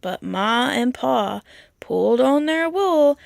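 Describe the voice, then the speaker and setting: A woman reading a children's picture book aloud in an expressive, storytelling manner. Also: high-pitched, takes it slowly, gravelly, no echo, clean studio sound. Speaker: storybook reader.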